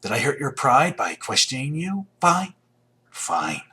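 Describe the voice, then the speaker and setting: A man's voice: medium pitch, big pitch swings, measured pace, sharp tone. A man voicing a proud, scornful hunter in a sci-fi video game, taunting and sardonic with cool menace.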